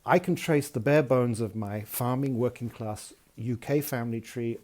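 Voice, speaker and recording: A man from Malaysia reads aloud from his own writing over a video call. His voice is mellow and dark. He stumbles, restarts and fills gaps with ums before settling into the text. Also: mid-range voice. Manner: halting, self-deprecating, many fillers and restarts. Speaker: author reading his work. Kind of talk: casual intro then reading aloud.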